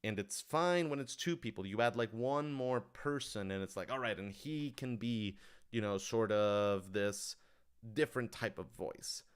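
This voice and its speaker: A man with a low-pitched voice speaks in a casual, off-the-cuff livestream style. He explains things thoughtfully and with some animation, often qualifying his words as he works toward the point. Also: animated, a little slow, smooth. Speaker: writer-director answering audience questions.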